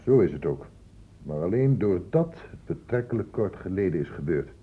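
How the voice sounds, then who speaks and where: A stern, lecturing doctor, tinny radio sound. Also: male voice, deep voice, very animated, normal speed, husky, heavily acted. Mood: lecturing, firm. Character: stern male doctor, patient but testy and lecturing. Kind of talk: Dutch radio drama acting. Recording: muffled, narrow-band old radio recording, noisy.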